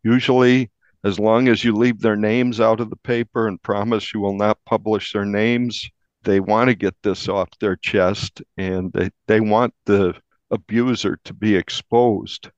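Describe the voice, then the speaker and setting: A man who works as a newspaper reporter, recounting in a measured way during an interview and repeating words for emphasis. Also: fairly low pitch, level tone, no room sound, highs cut off.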